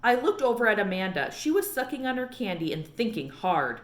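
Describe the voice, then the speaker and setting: Animated woman reading a children's book. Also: mid-range voice, a little fast, reverberant. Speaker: read-aloud reader. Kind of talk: expressive children's book read-aloud.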